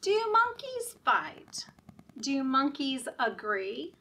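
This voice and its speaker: A woman leading story time for young children in a sing-song, deliberate, encouraging way, often asking the children questions. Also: high-pitched, big pitch swings, bright, forceful tone, recorded from a distance.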